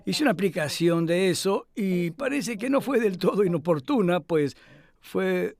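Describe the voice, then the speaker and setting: Older man, high voice, measured answers. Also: animated, creaky, darkish tone. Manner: thoughtful, measured, with pauses and fillers. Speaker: interviewee, literary scholar. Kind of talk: Spanish voice-over of an interview.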